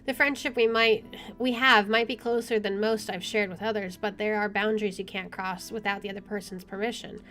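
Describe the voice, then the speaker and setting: A woman's voice: mid-range voice, fairly even intonation, brisk, hardly any pauses, a bit bright. A woman reading visual novel narration aloud as a game streamer, informally, with offhand asides. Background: game music.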